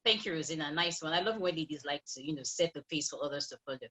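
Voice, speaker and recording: A woman moderating an online panel, lively and chatty, laughing often and repeating words as she hosts over a video call. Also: low-pitched, rapid-fire. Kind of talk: casual panel hosting.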